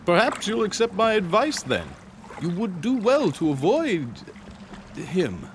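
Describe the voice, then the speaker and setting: An actor playing an eccentric, cryptic gentleman in a video game, florid, formal and politely mocking. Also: high voice, big pitch swings, measured pace, a little hiss or hum. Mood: cryptic warning. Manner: florid, formal, sing-song delivery. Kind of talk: theatrical video game voice acting. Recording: music under the voice.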